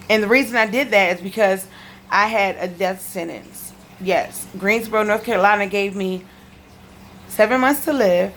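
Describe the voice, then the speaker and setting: Female vlogger, medium pitch, lisp, casual emphatic delivery to camera, slightly noisy home sound. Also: a bit bright. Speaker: video blogger giving health testimony. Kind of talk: casual direct-to-camera chat. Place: living room at home.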